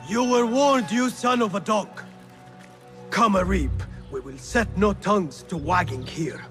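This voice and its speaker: An actor playing a stern, possessive guardian in a video game, speaking in scornful, formal, theatrical phrases. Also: male voice, high-pitched, measured pace, fairly bright tone, faint music behind. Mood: angry, threatening. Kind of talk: dramatic video game acting.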